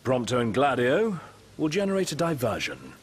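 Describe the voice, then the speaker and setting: An actor playing a composed, calculating young tactician in a video game, speaking in a measured, precise and articulate way. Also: male voice, medium pitch, wildly swooping pitch, slight background noise. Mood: calm, commanding. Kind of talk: dramatic video game voice acting.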